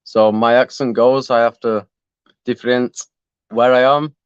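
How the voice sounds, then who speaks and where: A man's voice: mid-range voice, level tone, a little slow, smooth, dry recording, lo-fi sound. A man from northern England with a northern English accent, chatting casually and hesitantly as a guest, with many fillers. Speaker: guest, traveler. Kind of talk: casual conversation.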